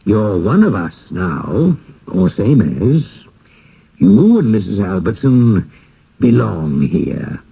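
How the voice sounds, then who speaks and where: An actor playing a genial, insistent small-town village elder in a radio drama, with a folksy, courtly, speechifying manner. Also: male voice, lowish voice, very animated, slow, vocal fry, breathy edge, exaggerated voice. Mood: patient, persuasive. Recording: dull lo-fi old radio broadcast.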